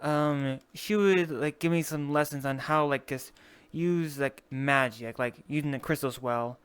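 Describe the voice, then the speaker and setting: A roleplay player talking in character in an online game, halting and rambling as they explain things. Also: medium pitch, clean tone, no echo.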